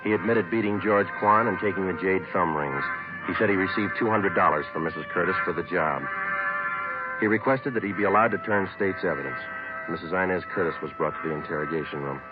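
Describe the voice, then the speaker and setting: An actor playing a terse, unflappable police detective in an old radio drama, deadpan and clipped. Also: male voice, sits low, brisk, over loud music, close to an everyday voice. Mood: matter-of-fact. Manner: deadpan, clipped, matter-of-fact. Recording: dull old radio recording.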